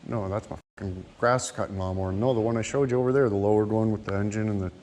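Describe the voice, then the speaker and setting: A Canadian man bantering casually and profanely in a joking sales-pitch way, as a vlog host. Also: steady tone, quick pace, darkish tone, a little hiss or hum. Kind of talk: casual banter, walkie-talkie chatter.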